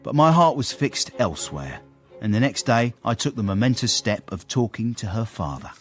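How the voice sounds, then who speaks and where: An actor playing a proud, self-important Victorian gentleman with an English accent, narrating and speaking formally in a period radio drama. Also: medium pitch, very animated, normal speed, faint music behind, lightly acted. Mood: wry, self-satisfied.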